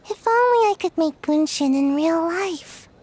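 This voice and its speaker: A woman voicing a small, lazy, nap-loving childlike dreamer in a video game, earnest and easily flustered. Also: a little mellow. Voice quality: childlike, very high. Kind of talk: animated video game dialogue. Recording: background music.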